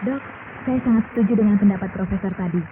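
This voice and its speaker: An actress playing a confident doctor in a scripted radio commercial dialogue, speaking in a precise, persuasive, formal manner. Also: female voice, fast, lightly acted. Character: confident female doctor endorsing a medicine. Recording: muffled radio recording, very low fidelity, noise.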